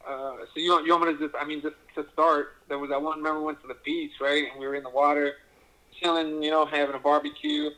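A man with a bright, forward voice that sits slightly high. He rambles easily through stories, full of fillers and casual swearing. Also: expressive, fast, poor audio. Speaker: guest. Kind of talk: casual chat with an old friend.

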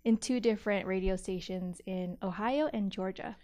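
A woman's voice: mid-range voice, normal speed, darkish tone. A woman in her thirties from California, a youth minister guesting on a podcast, bubbly and giggly with lots of "um" and "like". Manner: bubbly, giggly, filler-heavy. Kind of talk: casual interview.

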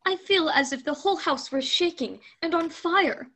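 A fretful, guilt-ridden fairy-tale mother, tense and anxious in every line, as a woman reads her. The voice is high and bright. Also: animated, measured pace, heavily acted. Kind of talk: amateur group read-aloud of a fairy tale.

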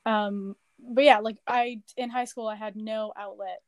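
A woman's voice: medium pitch, measured pace, no room sound. Chatty young woman hosting a podcast. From Southern California. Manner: chatty, animated, with many fillers. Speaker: podcast host. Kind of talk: casual chat.

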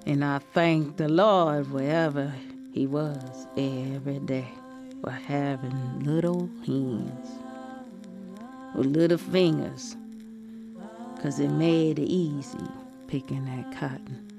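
A woman's voice: low voice, fairly even intonation, slow pace, vocal fry, over music, exaggerated voice. A woman voicing an elderly, formerly enslaved Southern grandmother, sorrowfully remembering in weary dialect storytelling. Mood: wistful, weary.

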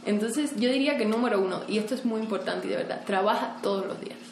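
A woman speaks frankly and with lively energy, laughing easily as an interview guest. Her voice sits mid-range. The room is echoey, with a faint background noise. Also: mid-range voice, quick pace, vocal fry. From Cuba. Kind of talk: relaxed conversational interview.

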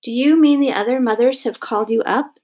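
A woman's voice: on the high side, fairly quick, dull audio, voice pushed up, lightly acted. A woman voicing a flustered, anxious housewife in a storybook, pleading and easily upset.

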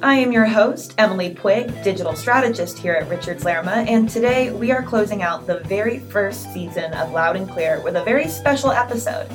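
A woman hosts a podcast and reads a prepared intro in a clear, bright way. Music plays clearly under her voice, with a mid-range pitch. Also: expressive, brisk, few pauses. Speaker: podcast host. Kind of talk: scripted intro.

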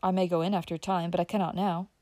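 A woman doing a calm modest young man. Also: fairly low pitch, rapid-fire, no room sound, clean studio sound, natural voice. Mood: calm explaining.

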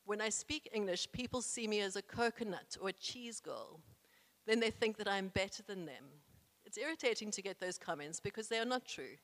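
A woman's voice: medium pitch, animated, fairly quick, a bit bright. A young South African woman, first language isiXhosa, reads a personal essay aloud in an earnest, proud way with careful phrasing. Her voice sits in a middle register and carries a long reverb from the room she is in. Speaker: speaker reading her own essay.

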